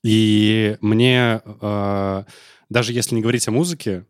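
Earnest lower-voiced man, dry sound. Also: steady tone, a little slow, clean tone, dry recording. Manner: earnest, enthusiastic, with hesitations. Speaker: podcast co-host. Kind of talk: casual chat.

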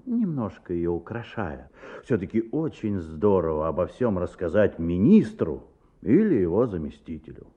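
A man playing the gently amused narrator of a radio play for children. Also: low-pitched. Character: storyteller narrating a schoolboy tale, gently amused. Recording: tinny old radio play recording.

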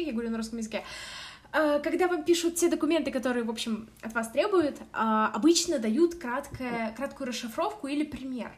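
A young woman talks through advice on a casual podcast. Her voice sits fairly high. She speaks in a lively, animated way, with fillers and small laughs along the way. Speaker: podcast co-host.

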